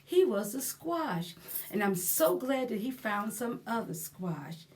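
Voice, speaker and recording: A woman with a high voice leads a storytime for young children. She speaks very slowly and expressively, with a sing-song lilt. She repeats simple phrases and addresses her listeners directly. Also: big pitch swings. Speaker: library storytime host. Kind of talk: children's storytime read-aloud and action rhymes.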